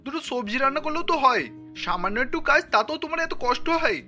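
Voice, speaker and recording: A woman's voice: high-pitched, animated, a little fast, pushed and bright, faint music behind. A woman voicing an irritable, dismissive office-going husband in a cartoon, curt and scolding. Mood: irritated. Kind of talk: cartoon family drama voice acting.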